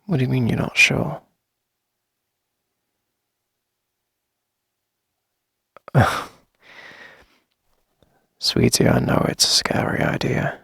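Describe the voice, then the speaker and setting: Casting: male actor as soft-spoken, coaxing boyfriend for intimate ASMR, very breathy and husky, animated pitch, close dry mic. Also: no echo, full character voice. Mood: gently reassuring. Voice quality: husky, breathy, creaky low murmur. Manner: gentle, coaxing, lingering pauses. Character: tender, reassuring boyfriend longing to start a family. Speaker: ASMR audio roleplay performer. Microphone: close dry microphone.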